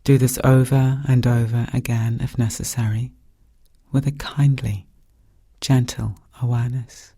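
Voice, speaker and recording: A man with a soft, breathy voice guiding a meditation in calm, gentle, measured phrases with pauses. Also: medium pitch, dry recording, clean studio sound. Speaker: meditation guide. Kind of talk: guided meditation narration.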